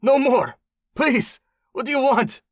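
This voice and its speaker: A man's voice: lively intonation, moderate pace, a bit bright, no room sound. A man voicing a wounded, furious warlord captive with a thick foreign accent, snarling and pleading in an audiobook. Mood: desperate pleading. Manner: snarling, pleading, high-strung. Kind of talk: audiobook character voice.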